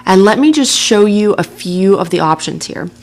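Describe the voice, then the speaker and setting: A woman narrating a software tutorial in a casual, chatty way, laughing lightly now and then. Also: mid-range voice, animated, normal speed. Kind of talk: screen-recording tutorial narration.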